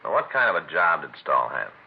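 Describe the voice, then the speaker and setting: A man playing a calm, practical police detective partner with plain, businesslike delivery in 1950s radio crime drama style. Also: extremely low pitch, vocal fry, husky, full character voice. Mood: inquiring. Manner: plain, brisk, businesslike questioning. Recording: muffled, noisy old radio recording.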